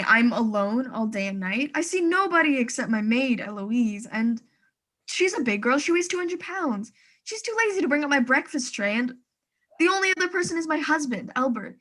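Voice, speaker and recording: High-pitched, fretful invalid wife, acted by a woman. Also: a bit bright. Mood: flustered, uneasy. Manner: insistent, agitated, complaining. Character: fretful, imperious bedridden invalid wife, increasingly panicked. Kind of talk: suspense radio play acting.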